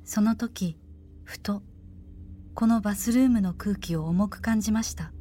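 A woman narrating a scripted horror radio drama in the first person, in a measured, formal storytelling manner. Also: mid-range voice, dark tone.

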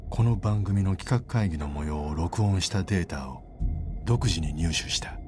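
A man's voice: low-pitched, moderate pace, over music, lightly acted. A man playing a grave narrator presenting found recordings in a scripted documentary style.

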